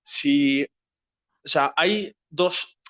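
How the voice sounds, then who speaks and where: A man with a Peninsular Spanish accent hosts an informal discussion. His voice sits a little high, and he explains in a didactic way, adding small checks that the listener follows. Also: drawn-out words, a bit bright. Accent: Spain Spanish. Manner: didactic, checking understanding with tags. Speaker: host. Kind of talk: casual explanatory talk.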